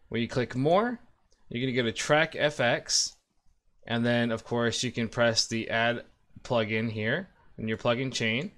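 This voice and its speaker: Man, thirties, informal tutorial host with mid-pitched voice, explaining software steps casually. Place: indoor room at a desk.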